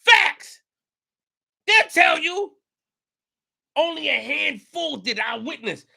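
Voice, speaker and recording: A man delivers a passionate monologue on his own show. His voice sits very high and cuts sharply, and he hammers his points home with repetition and bursts of shouting. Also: sing-song, slow, vocal fry. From New York. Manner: emphatic, repetitive, rising to shouts. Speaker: show host. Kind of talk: impassioned commentary monologue.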